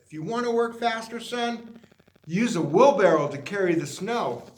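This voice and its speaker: A man's voice: high voice, expressive, moderate pace. A man voicing a doubtful, advising grown-up male mouse from a storybook, hesitant and cautionary. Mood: confiding. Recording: roomy, echoey sound.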